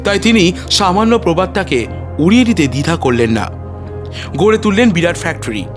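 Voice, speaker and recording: A male storyteller reads scripted fiction in a high-pitched voice. His intonation swings widely, with dramatic emphasis on measured literary phrases. Music plays behind the narration. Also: very animated.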